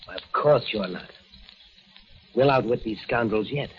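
An actor playing a brave adult hero with a steady, reassuring heroic tone, in 1940s radio acting. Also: male voice, medium pitch, unhurried, a little hiss or hum, close to an everyday voice. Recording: muffled old radio recording.

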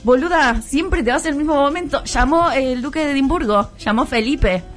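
A woman's voice: high-pitched, moderate pace, soft background music. A woman with a Rioplatense Argentine accent, co-hosting a radio show in casual, playful banter and laughing often. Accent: Rioplatense Argentine Spanish. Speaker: radio co-host.

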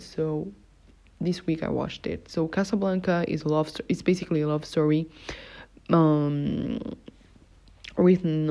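A woman's voice: deep voice, gravelly, warm tone. A young adult woman from Morocco hosting a solo podcast, chatting casually with many fillers and self-corrections. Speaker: podcast host. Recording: dull lo-fi recording.

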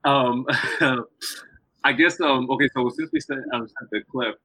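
A man hosting a podcast on a live video call, talking in a halting way full of ums and restarts. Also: mid-range voice, pushed and bright, no room sound. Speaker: podcast host. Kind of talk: casual live-stream interview. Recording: dull video call audio.

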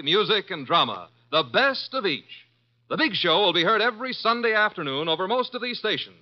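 A man announcing radio programs in an emphatic, showman-like 1950s promotional style. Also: high-pitched. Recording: muffled 1950s radio recording.

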